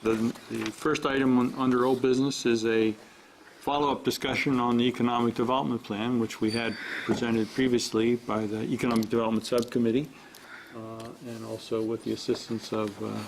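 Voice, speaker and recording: A man chairing a council meeting in a relaxed, hesitant way. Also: mid-range voice, fairly quick, vocal fry, rough recording. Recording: faint background noise from a live stream.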